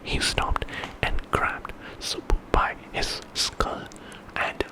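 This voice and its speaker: A man's voice: takes it slowly, rough recording. A man telling a story in whispered ASMR style, informally, as if making it up with casual asides.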